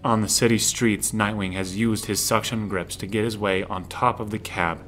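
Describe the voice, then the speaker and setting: A man's voice: on the low side, steady tone, moderate pace, a bit bright. A man narrating a scripted audio drama, describing the action as the narrator. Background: music.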